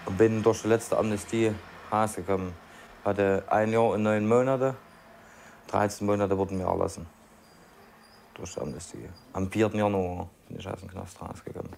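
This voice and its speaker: Muffled low-voiced man. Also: steady tone, normal speed, gravelly, a little mellow. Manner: blunt, colloquial. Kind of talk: matter-of-fact personal account. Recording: muffled documentary tape with background noise.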